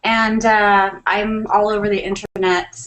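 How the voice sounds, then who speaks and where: A woman's voice: medium pitch, little pitch movement, measured pace, fairly bright tone, slight background noise, rough recording. A woman from Texas, an artist, giving a brief, easygoing self-introduction. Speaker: guest artist.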